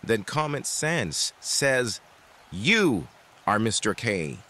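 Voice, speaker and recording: A man's voice: fairly low pitch, big pitch swings, slow pace, pushed and bright, exaggerated voice. An actor playing an earnest, inquisitive father in an audio drama.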